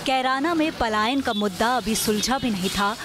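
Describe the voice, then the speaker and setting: Female news narrator, slightly high voice. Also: expressive, moderate pace, over music. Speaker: news voice-over reporter.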